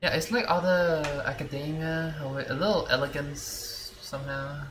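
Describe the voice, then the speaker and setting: A woman's voice: low-pitched, fairly even intonation, normal speed. A woman game streamer giving casual, offhand commentary as she plays. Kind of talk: casual live gameplay commentary. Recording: roomy echo over game music. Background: game music.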